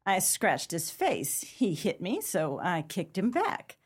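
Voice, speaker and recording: A woman's voice: lowish voice, huge pitch swings, takes it slowly, husky, heavily acted. A woman playing a hot-tempered, defiant gangster's moll in a 1930s pulp crime radio play, tough-talking and slangy. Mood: defiant, protesting.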